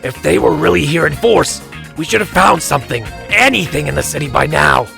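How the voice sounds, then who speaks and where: An actor playing a gruff, restless young male werehog hero with a growling voice in a fan audio drama. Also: medium pitch, lively intonation, brisk, music in the background. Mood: irritated, restless. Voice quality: gravelly, growly.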